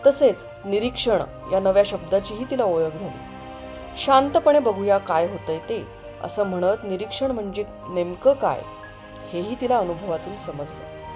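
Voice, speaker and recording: A woman narrating an educational film from a script in a measured, explanatory way. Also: mid-range voice, expressive. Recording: music under the voice.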